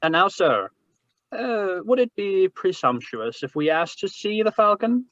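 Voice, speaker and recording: An actor playing a genteel, scheming older crime boss in a 1940s radio drama, speaking in a florid, courtly manner. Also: male voice, high-pitched, very animated, unhurried, a bit bright, no room sound, full character voice. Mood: smooth explaining. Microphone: video call. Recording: muffled, noisy video-call audio.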